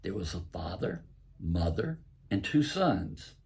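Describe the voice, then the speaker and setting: Raspy, creaky older Southern man telling a story. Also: sits low, big pitch swings, takes it slowly, darkish tone. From southern United States. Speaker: host and author reading own stories. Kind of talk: storytelling, reading aloud from own book.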